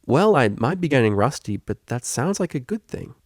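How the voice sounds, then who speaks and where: A man voicing an energetic, kindly male pony tutor, understanding and playful, with an encouraging, informal manner. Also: mid-range voice, normal speed, dry recording, studio quality, voice pushed up. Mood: reassuring. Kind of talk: fan fiction audiobook character reading.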